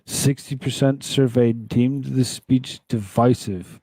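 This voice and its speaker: A man's voice: mid-range voice, fairly even intonation, takes it slowly, dark tone, breathy edge, dry recording, low-quality recording. A man hosting a political talk show, reading news items aloud and commenting on them in a rambling, conversational way.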